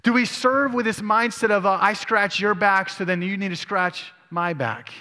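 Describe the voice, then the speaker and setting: A man's voice: high-pitched, moderate pace, sharp tone. A male pastor preaching a sermon, reading scripture aloud and asking his congregation questions. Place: church sanctuary. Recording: long church hall reverb.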